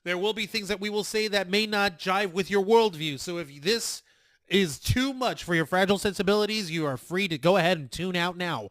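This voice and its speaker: A man hosting an uncensored review podcast, talking in a loud, energetic and playful way. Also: sits high, brisk, no room sound. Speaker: podcast host. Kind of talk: casual podcast chat.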